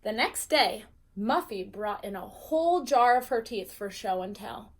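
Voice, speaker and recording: A woman with a fairly high voice reads a children's storybook aloud. She is an expressive teacher who speaks directly to young listeners and asks them questions as she goes. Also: unhurried. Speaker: teacher reading a bedtime story to first graders.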